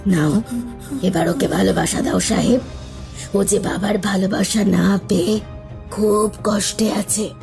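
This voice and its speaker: Creaky, vengeful female ghost. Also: mid-range voice, fairly even intonation, a little slow, pauses often, music in the background. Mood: mournful taunting. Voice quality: pale, drained, creaky voice. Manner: mocking, reproachful. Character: vengeful female ghost of a wronged mother, bitter and taunting. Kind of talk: dramatised horror audio story acting.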